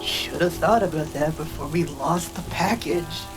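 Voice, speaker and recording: A woman playing a tough, blunt orc giantess in a noir audio drama, slangy and unhurried. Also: low-pitched, over music. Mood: firm, irritated. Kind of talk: scripted audio drama dialogue.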